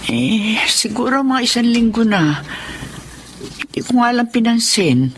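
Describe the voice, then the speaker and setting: A woman playing a gentle, hesitant elderly mother-in-law in a Filipino drama. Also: on the high side, big pitch swings, unhurried, slight background noise. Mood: ailing, reluctant. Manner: mild, hesitant. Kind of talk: Filipino radio-style drama acting.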